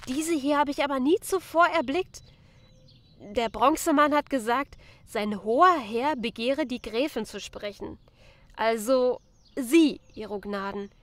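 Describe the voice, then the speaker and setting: Woman as a flustered, high-voiced lady's maid. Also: measured pace, no room sound. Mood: earnest, hesitant. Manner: anxious, earnest, respectful. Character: flustered, deferential young female lady's maid. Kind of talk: audio drama character reading.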